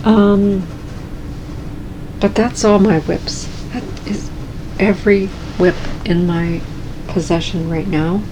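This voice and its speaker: Slow, calm-voiced woman vlogger. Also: medium pitch, background noise. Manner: calm, slow and soft-spoken. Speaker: cross-stitch vlogger. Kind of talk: casual chat.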